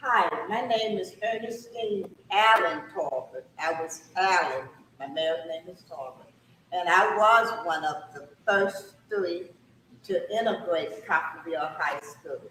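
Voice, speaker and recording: A woman in her sixties from Mississippi, a retired nurse, introducing herself slowly and deliberately. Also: on the low side, fairly bright tone, slight background noise. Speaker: interview guest, retired nurse. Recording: echoey room, mic at a distance.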